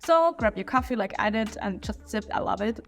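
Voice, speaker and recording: A woman with a German accent talks through a history review in English, her second language. She sounds casual and opinionated and restarts words now and then. Her pitch sits in the middle, and soft music plays underneath. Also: animated, fairly quick. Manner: chatty, opinionated, with small slips and restarts. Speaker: history channel host.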